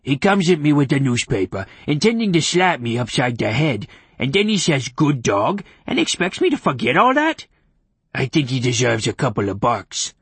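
A man voicing a guileless, cheeky talking pet hound in an audiobook, plain-spoken and eager, with a gravelly voice. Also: mid-range voice, big pitch swings, normal speed, fairly bright tone, no room sound, pitched above the performer's own voice, full character voice. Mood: offended. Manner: plain-spoken, naive, easily offended. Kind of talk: audiobook character acting.

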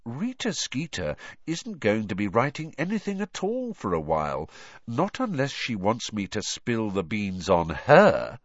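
A man voicing a clever, earnest teenage schoolgirl in a storybook, brisk and precise, with a smug, explanatory manner. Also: on the low side, huge pitch swings, no echo, lo-fi sound, exaggerated voice. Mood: constrained.

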